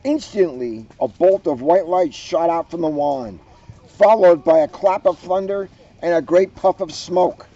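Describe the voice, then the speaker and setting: A man's voice: high voice, a little slow, warm tone, highs cut off. A man reading a storybook aloud with playful, joking asides.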